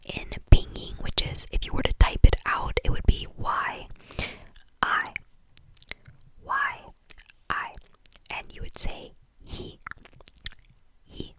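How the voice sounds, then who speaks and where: A woman whispering an ASMR-style lesson, repeating words softly and slowly over and over. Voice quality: soft whisper. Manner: repetitive, slow, breathy trigger-word repetition. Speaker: language teacher. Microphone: camera mic.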